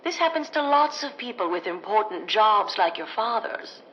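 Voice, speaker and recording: An actress playing a gentle, patient 1950s American housewife and mother in an old-time radio drama, sweet and proper. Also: medium pitch, sing-song, normal speed, highs cut off, a little hiss or hum, lightly acted. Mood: patient, soothing.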